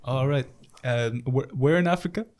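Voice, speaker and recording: Young man, podcast host, casual chat, relaxed, chatty, fillers. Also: medium pitch, moderate pace. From Italy. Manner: laid-back, laughs often, loose fillers.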